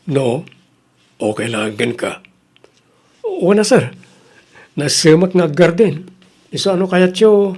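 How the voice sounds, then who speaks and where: A man acting as an earnest rural Filipino man in an Ilocano radio drama. His voice is mid-pitched and quite husky, with an Ilocano accent. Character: grateful reformed ex-convict and his kind farm-owning benefactor.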